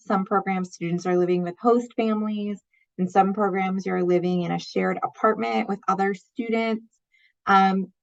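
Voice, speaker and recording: Woman moderator, encouraging, mid pitch, muffled lo-fi sound. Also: creaky. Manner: encouraging, prompting questions. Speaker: panel moderator. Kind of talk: moderating a panel. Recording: dull lo-fi audio.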